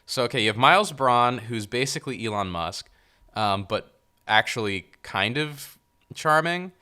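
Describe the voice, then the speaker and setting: A man co-hosting a casual movie podcast, joking and pausing with fillers as he summarizes a plot. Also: mid-range voice, lively intonation, sharp tone, clean tone. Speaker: podcast co-host. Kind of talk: casual movie review chat.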